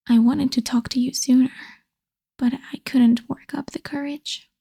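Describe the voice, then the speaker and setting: Woman acting a breathy, whispering obsessive vampire girl. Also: sits high, mellow, full character voice.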